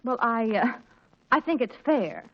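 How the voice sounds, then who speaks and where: A woman's voice: fairly high pitch, very animated, unhurried, exaggerated voice. An actress playing a flustered, fussy, emphatic mother of a chaotic household in 1940s radio comedy style. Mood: reassuring. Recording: dull old 1940s radio recording.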